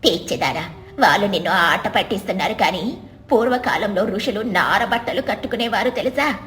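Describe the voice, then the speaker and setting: A woman's voice: mid-range voice, lively intonation, a little fast, a bit bright, lightly acted. A woman voicing a wise old woman who scolds and advises in a story. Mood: scolding, advising.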